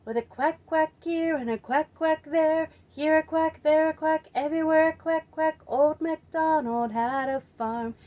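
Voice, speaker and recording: Storytime presenter: woman, high voice, unhurried, playful and expressive for young kids. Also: dull audio. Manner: playful, expressive, drawn-out emphasis. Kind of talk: children's storytime singing and storytelling.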